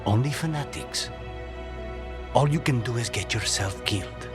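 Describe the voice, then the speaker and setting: An actor playing a grim, guarded assassin bent on revenge in a video game, speaking tersely. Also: male voice, mid-range voice, animated, moderate pace, over music, lightly acted. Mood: irritated, curt.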